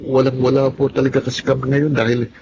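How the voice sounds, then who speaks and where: A man's voice: mid-range voice, level tone, fast, creaky, lo-fi sound, background noise, close to an everyday voice. An actor playing a humble, earnest young Filipino man from a poor family in a radio drama. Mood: flustered, pleading.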